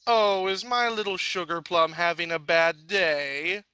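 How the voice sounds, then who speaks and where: A graceful, doting pony mother, as a man puts her on, cooing fondly in a storybook reading. The voice is high and bright, with no room echo. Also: measured pace, smooth, clean studio sound.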